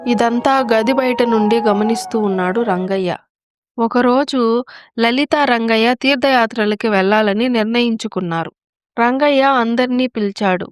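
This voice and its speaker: A woman's voice: fairly high pitch, normal speed, no echo. A woman narrator telling a scripted moral story.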